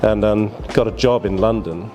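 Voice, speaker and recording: A man's voice: lowish voice, moderate pace, warm tone, soft background music. Rambling older man telling stories. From Barbados. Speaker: guest, businessman. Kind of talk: casual interview storytelling.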